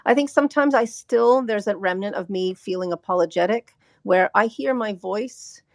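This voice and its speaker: An American woman who grew up speaking Ukrainian, a podcast guest talking in an earnest, halting way with frequent restarts. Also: medium pitch, creaky, dry recording, clean studio sound. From United States. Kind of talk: reflective podcast talk.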